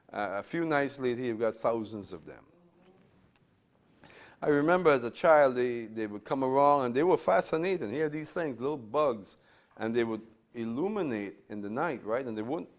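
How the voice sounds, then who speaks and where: An older man from Trinidad, a pastor giving a conversational Bible lecture to a class, often checking in with "right?" and repeating his words. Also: mid-range voice.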